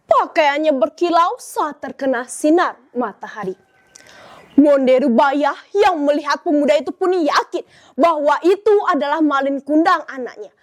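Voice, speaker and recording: A teenage schoolgirl narrating a folk tale for a storytelling contest, dramatic and measured. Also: high voice, lively intonation. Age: teens. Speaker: student storyteller.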